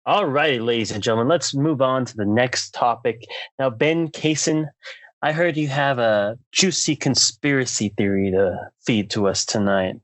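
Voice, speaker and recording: A man's voice: mid-range voice, normal speed, fairly bright tone, no echo. A man co-hosting a podcast in casual chat, talking in an informal, opinionated, joking way. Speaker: podcast co-host.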